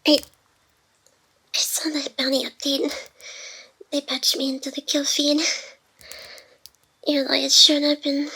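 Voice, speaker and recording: A woman playing a playful, wounded young female AI hacker, secretly devoted, in intimate ASMR roleplay with breathy, halting, teasing speech that often drops to a whisper. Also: sits high, sharp tone, heavily acted.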